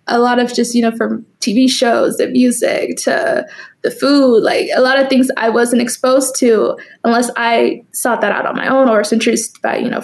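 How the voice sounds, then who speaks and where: A young woman with a high voice that often drops into creak. Her first languages are Swahili and Kikuyu, and she speaks in an informal, chatty way, as a guest in a personal conversation. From United States, raised in Kansas after early childhood in East Africa. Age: young adult. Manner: chatty, informal, laughs often while talking. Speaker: interview guest, photographer.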